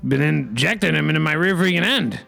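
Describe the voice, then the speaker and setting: A man's voice: a little high, expressive, a little fast, vocal fry, bright, forceful tone, faint music behind. A man hosting an improvised comedy podcast, rambling in a mock-pompous way with folksy catchphrases.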